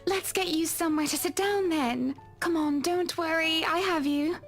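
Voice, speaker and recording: Gentle married lady, very high pitch, caring, expressive, visual novel, faint music behind. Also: moderate pace. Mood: worried. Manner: solicitous, expressive.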